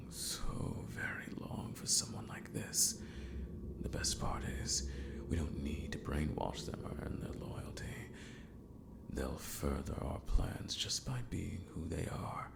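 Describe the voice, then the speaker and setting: A man playing a calm, scheming villain mentor in a scripted ASMR roleplay, courteous yet menacing, with formal, deliberate speech that often sinks to a whisper. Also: exaggerated voice. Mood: secretive muttering. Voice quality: very deep, gravelly. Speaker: villainous mentor training an apprentice.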